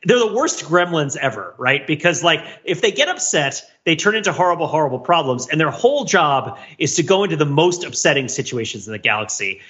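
A man's voice: a little high, big pitch swings, brisk, hardly any pauses, pushed and bright, lo-fi sound. A man hosting a casual pop-culture discussion podcast, talking emphatically and repeating phrases for effect. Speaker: podcast host.

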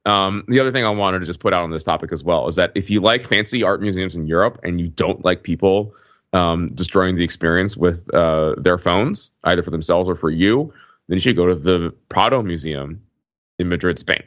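A man with a low voice chats as a podcast co-host. His delivery is informal and a bit halting. The recording is dry and close, with slightly dulled highs. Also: a bit bright, no room sound, lo-fi sound. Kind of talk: casual conversational podcast talk.

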